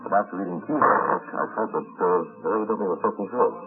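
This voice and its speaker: A man being interviewed on 1950s radio, choosing formal words deliberately and carefully. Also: low-pitched, faint music behind. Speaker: convention attendee being interviewed. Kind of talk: spontaneous interview answers. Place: on location at a convention. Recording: tinny band-limited 1950s radio recording.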